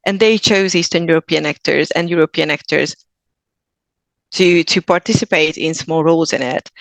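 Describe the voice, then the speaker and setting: A woman's voice: low-pitched, moderate pace, gravelly, no echo, rough recording. A woman from Hungary with a Hungarian accent, a guest actor speaking earnestly in a casual podcast interview, often restarting her sentences. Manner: earnest, thoughtful, with frequent restarts.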